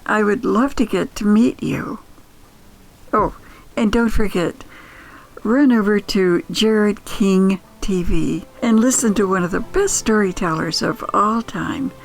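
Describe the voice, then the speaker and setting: A woman's voice: mid-range voice, slow, creaky, poor audio. An older woman hosting a storytelling podcast in a personal, conversational style.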